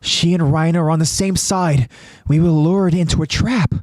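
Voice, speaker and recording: A man's voice: fairly high pitch, fairly even intonation, moderate pace, a little mellow, dry recording, clean studio sound, voice pushed up, lightly acted. A man voicing a thoughtful young male soldier in an anime story, earnest and uneasy, speaking with wondering intensity. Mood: grave.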